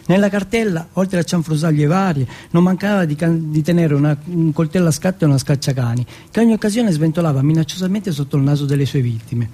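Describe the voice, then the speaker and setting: A man from Turin performs a theatrical monologue. His husky voice sits fairly high and has a soft, mellow tone. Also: fast, few pauses. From Turin, Italy.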